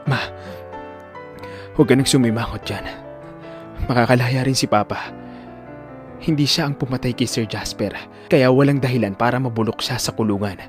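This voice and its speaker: A man's voice: medium pitch, moderate pace, pauses often, over music, pitched below the performer's own voice, lightly acted. A man playing an anguished, fiercely protective young son in an emotional audio drama. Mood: reassuring. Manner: emotional, pleading, confrontational.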